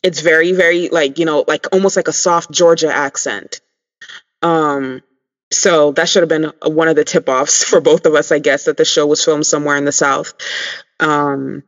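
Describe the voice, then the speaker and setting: Chatty man with a slightly high voice. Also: a bit bright. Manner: chatty, many ums, addresses listeners. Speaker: podcast co-host. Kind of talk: casual chat. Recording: dull lo-fi sound.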